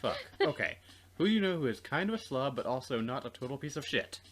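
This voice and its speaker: A man's voice: mid-range voice, sing-song, a little fast. A man casually narrating a game and bantering with a co-host. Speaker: let's player. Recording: game music behind the voice. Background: game music.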